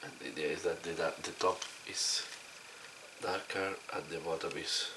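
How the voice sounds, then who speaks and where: Male hobby tutorial host, non-native English, deep voice failing from a cold, explains step by step over clear background noise. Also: fairly even intonation, moderate pace. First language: not English. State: hoarse from a cold, voice giving out. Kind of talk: instructional commentary while demonstrating. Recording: clearly noisy recording.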